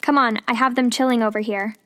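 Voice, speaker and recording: A woman's voice: fairly high pitch, steady tone, quick pace, fairly bright tone, slight background noise, close to an everyday voice. A woman playing a kind young witch. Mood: friendly. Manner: friendly, teasing.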